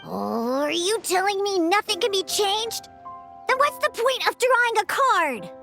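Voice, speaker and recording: A woman's voice: very high-pitched, a little slow, gravelly, exaggerated voice. A woman voicing an earnest, easily flustered, chatty young girl in a video game, with music behind her. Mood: hesitant. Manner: excitable, chatty, indignant. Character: earnest, flustered, high-voiced girl who mixes drinks. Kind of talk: animated video game voice acting.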